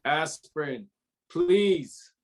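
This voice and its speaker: A weary police detective acted by a man in a crime thriller table read. The voice sits a little high for a man and carries a wry, grumbling, sardonic edge. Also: extremely slow. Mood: businesslike, weary.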